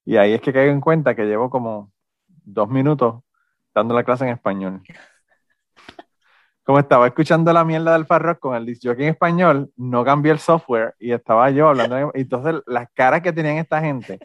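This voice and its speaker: A man's voice: mid-range voice, fairly quick, no room sound, muffled recording. A man with a Puerto Rican accent chatting casually, joking and swearing loosely as he tells stories. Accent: Puerto Rican Spanish. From Puerto Rico. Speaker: former university instructor. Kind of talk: casual chat.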